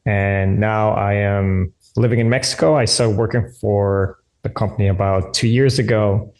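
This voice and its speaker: A man's voice: on the low side, fairly even intonation, moderate pace, darkish tone. A man from Germany, casually introducing himself on an online call. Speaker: guest.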